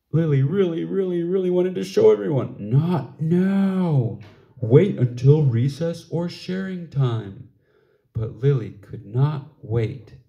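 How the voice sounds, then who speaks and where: A man reading a children's picture book aloud in an expressive storytelling style, with deliberate phrasing. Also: mid-range voice, creaky. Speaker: storybook reader.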